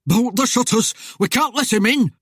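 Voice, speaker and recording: A woman voicing a frightened, blustering rustic man who bellows in dialect with a high, squeaky croak. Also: animated, moderate pace, a bit bright, no echo, clean studio sound. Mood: panicked. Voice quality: squeaky croak when afraid, high-pitched.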